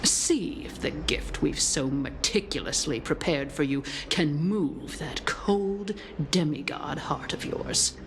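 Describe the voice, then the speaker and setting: Villainous noblewoman, extremely slow delivery, lowish pitch, mocking, theatrical, gloating, game drama, noisy background. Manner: taunting, theatrical, drawn-out phrasing. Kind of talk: dramatic video game voice acting.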